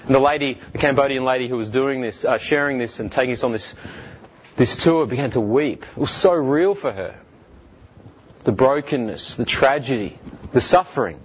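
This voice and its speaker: An Australian man in his thirties, a guest speaker telling his life story in earnest, halting speech full of fillers and restarts. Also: medium pitch, dull audio, slight background noise. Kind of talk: personal testimony talk.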